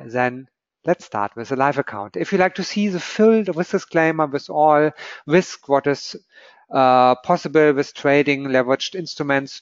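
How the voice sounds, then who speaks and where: A man's voice: mid-range voice, no room sound, muffled recording. A man with a German accent opening a trading webinar, upbeat and promotional, with slightly non-native phrasing. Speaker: webinar host. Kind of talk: scripted webinar introduction.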